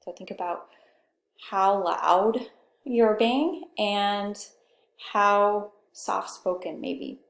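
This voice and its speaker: A soft-spoken woman with a Pacific Northwest accent giving a conversational recorded course lecture, often checking in with "okay". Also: mid-range voice, steady tone, extremely slow, gravelly, roomy sound. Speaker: instructor.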